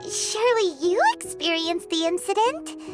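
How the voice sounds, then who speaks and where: A woman's voice: extremely high pitch, normal speed. A woman voicing a small, cute, childlike fairy companion in an anime-style video game, earnest and nosy. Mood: curious, chirpy. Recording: music playing behind the voice.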